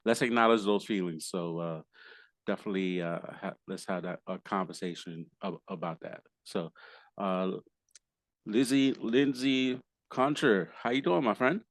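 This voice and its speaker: A hoarse man hosting a podcast, his voice sitting very low. He rambles casually through his intro, full of ums and uhs and false starts, and the sound is dry and close. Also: normal speed, dry recording. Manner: rambling with many fillers and restarts. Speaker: podcast host.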